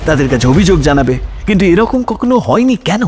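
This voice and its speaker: A man's voice: high-pitched, sing-song, fast talker. A man playing a principled, sceptical opposing lawyer who speaks formally and emphatically in an audio drama. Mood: probing, sceptical. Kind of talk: Bengali audio drama acting. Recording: dramatic music under the voice.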